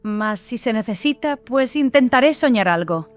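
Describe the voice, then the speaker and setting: Woman acting a high-voiced, sceptical young apprentice. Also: lively intonation, moderate pace, no echo, faint music behind, close to an everyday voice. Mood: dismissive. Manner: snorting, dismissive, matter-of-fact. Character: sceptical, irreverent young female dream-reading apprentice. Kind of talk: dramatised audiobook acting.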